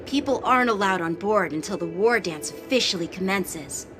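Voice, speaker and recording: A woman voicing an earnest, serious young boy sword apprentice in a game cutscene, speaking in a reflective, measured way. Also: mid-range voice, lively intonation, close to an everyday voice. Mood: eager. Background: game score music.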